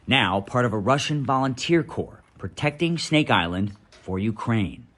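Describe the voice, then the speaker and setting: An American man, a news correspondent, reading a scripted report voice-over in a dramatic, measured way with deliberate pauses. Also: medium pitch, expressive, a bit bright.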